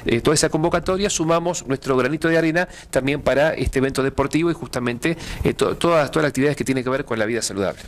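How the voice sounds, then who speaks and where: A man's voice: mid-range voice, fast, few pauses. A middle-aged man with an Argentine accent, a pastor being interviewed in a TV studio, speaking hesitantly with many fillers and repeated words. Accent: Argentine Spanish. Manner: gestures with hands while explaining. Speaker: pastor and event organizer. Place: TV studio with dark curtains.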